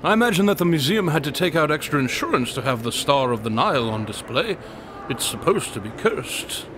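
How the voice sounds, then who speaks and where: A man's voice: fairly high pitch, animated, fairly quick, background noise, natural voice. A man acting as a boastful, inquisitive detective in a radio drama, talking in a dry, precise, wordy way. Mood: dry, wry.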